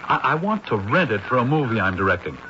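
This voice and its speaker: A breezy, persuasive film director who stumbles over his words now and then. His voice is bright and forceful. The sound is dull with faint hiss, like an old radio play. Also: mid-range voice, very animated, fast talker. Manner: breezy, persuasive, stammers a little. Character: eager, easygoing film director. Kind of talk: 1970s radio drama acting.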